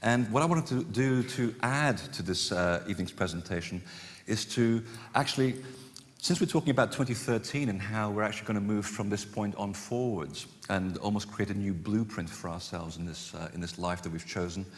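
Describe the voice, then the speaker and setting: A man's voice: on the low side, normal speed. A Portugal-born man giving a conference talk to a live audience, chatty and self-deprecating, with many fillers and restarts. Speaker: conference speaker. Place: conference hall. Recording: long hall reverb.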